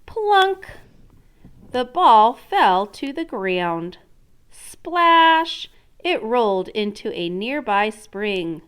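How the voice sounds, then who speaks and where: Slow, animated woman teacher reading aloud. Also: on the high side, clean tone. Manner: expressive, instructional, prompting listeners with questions. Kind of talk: interactive storybook read-aloud lesson.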